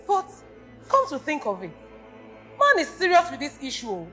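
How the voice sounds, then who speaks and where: A self-important Nigerian woman played by a stage actress who bickers and challenges others with pointed questions. Her voice is high and swings widely. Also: huge pitch swings, normal speed, pushed and bright, soft background music, full character voice. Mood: sceptical. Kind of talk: stage play acting.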